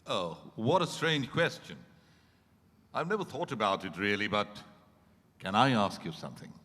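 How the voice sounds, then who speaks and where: An actor playing a lonely, wistful ageing man in a halting, theatrical stage reading. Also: lowish voice, very animated, pushed and bright, exaggerated voice. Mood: guarded. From India. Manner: halting, theatrical, repeats phrases for weight. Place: event hall at a hotel. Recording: echoey hall with long reverb.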